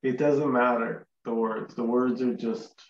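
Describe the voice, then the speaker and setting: A man's voice: mid-range voice, fairly even intonation, unhurried, reverberant, distant mic, highs cut off, slight background noise. A man hosting a casual group chat, talking emphatically and repeating short denials over a video call. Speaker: host.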